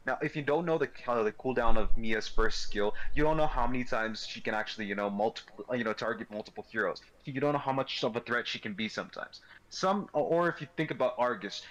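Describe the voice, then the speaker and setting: A man's voice: medium pitch, fairly bright tone, low-quality recording. A man from Kerala with a Bangalore accent, a caster speaking earnestly on a gaming panel discussion. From Kerala, India.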